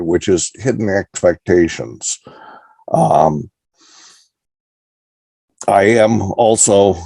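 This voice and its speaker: An older man giving a lodge presentation over a video call, pausing now and then to sort out his screen. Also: sits low, gravelly, mellow, no echo. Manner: halting, pauses while sorting out his screen. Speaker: guest speaker. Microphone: computer mic on a video call.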